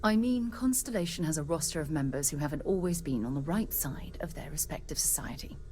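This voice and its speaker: An actress playing a seasoned, principled space explorer captain in a video game, speaking in a measured, earnest, authoritative way. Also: female voice, deep voice, expressive, dark tone, a little hiss or hum. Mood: firm, principled. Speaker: expedition leader. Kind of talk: video game character dialogue.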